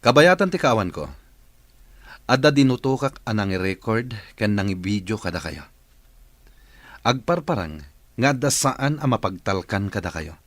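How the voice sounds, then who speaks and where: An actor playing a stern, commanding boss in an Ilocano radio drama, speaking accusingly with an Ilocano accent. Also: male voice, on the low side, big pitch swings, normal speed, pauses often, fairly bright tone, no echo. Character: stern male boss confronting his cheating employees.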